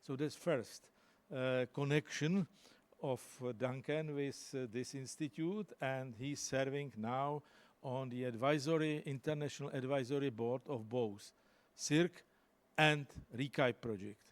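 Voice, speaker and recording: Man haltingly introducing a speaker. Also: medium pitch. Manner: halting, searches for words with many uhs. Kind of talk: formal introduction. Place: large lecture hall, indoors. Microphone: handheld microphone.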